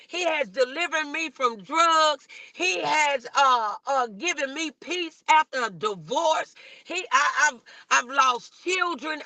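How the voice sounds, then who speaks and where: Female American preacher-host, high-pitched and very forceful, exhorting an online congregation, close dry sound. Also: no room sound. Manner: exhorting, calling on listeners with repeated rhetorical questions. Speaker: host and minister preaching morning motivation. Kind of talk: motivational sermon to an online audience.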